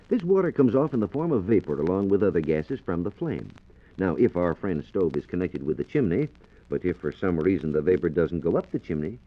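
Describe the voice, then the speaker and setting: An American man working as a science reporter, explaining things precisely and didactically in the manner of 1940s radio. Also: low-pitched. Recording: muffled vintage 1940s radio recording.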